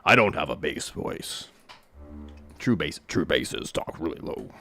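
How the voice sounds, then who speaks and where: A man composing on a live stream, chatting casually, humming lines and counting off beats with joking asides; a baritone who sings tenor. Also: very animated, a little slow, bright, forceful tone, soft background music.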